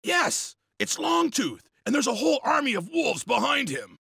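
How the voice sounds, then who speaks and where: Raspy, excitable male wolf rebel. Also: very high-pitched, moderate pace, dry recording, clean studio sound, heavily acted. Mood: excited, alert. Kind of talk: dramatic video game voice acting.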